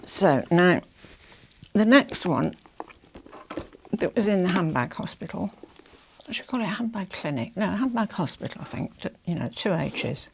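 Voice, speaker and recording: Croaky older Englishwoman chatting to camera. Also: on the low side, expressive, moderate pace, long pauses, a little hiss or hum. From England. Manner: rambling, self-interrupting, confiding asides. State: hoarse, croaky from a throat ailment. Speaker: fashion vlogger.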